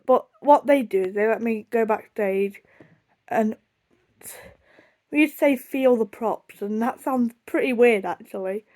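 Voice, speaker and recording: A woman's voice: a little high, a little slow, darkish tone. A young woman with a British accent rambling casually through a storytime vlog, chatty, self-deprecating and easily sidetracked. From United Kingdom. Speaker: video blogger.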